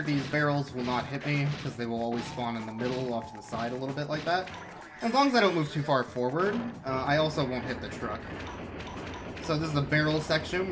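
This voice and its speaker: A man commentating his own video game speedrun in a relaxed, explanatory way, with sudden excited outbursts. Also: mid-range voice, rough recording. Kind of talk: casual live-stream commentary. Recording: game music playing behind.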